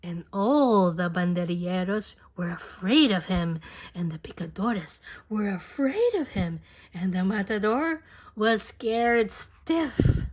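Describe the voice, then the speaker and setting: A woman reading a children's picture book aloud as an expressive storyteller, unhurried and speaking straight to a young listener. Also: mid-range voice.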